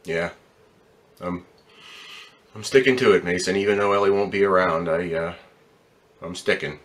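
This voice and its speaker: A man's voice: low-pitched, moderate pace, lightly acted. A man acting in a radio drama as a troubled, weary, plain-spoken ambulance driver, stammering and repeating his words. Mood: resigned.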